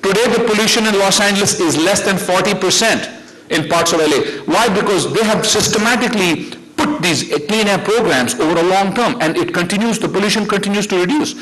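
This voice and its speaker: A man's voice: sits high, a little fast, fairly bright tone, low-quality recording. A middle-aged man with an Indian accent giving an unscripted conference talk as a researcher, arguing his points in an emphatic, conversational way. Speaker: academic researcher speaking on a panel.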